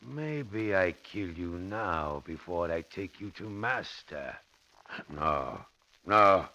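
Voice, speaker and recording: A man playing a menacing, boastful, slow-witted thug in broken English, in 1940s radio acting style. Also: low voice, lively intonation, sharp tone, full character voice. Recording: old 1940s radio recording.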